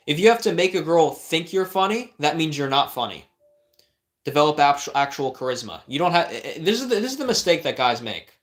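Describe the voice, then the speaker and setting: A man's voice: fairly high pitch, a bit bright. A young man, a life coach, reading viewers' questions on a livestream and answering with blunt, direct advice and rhetorical questions.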